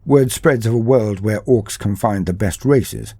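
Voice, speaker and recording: Deep, husky man narrating. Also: unhurried, no echo, faint music behind. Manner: steady dramatic storytelling. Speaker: lore narrator. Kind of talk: scripted narration.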